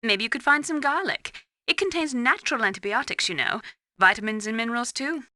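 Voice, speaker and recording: A woman's voice: on the high side, lively intonation, a little fast, pushed and bright, slight background noise. A woman playing a poised, clinical female scientist advising a soldier in a video game, speaking in a precise, explanatory way. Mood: clinical, measured. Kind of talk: video game radio briefing acting.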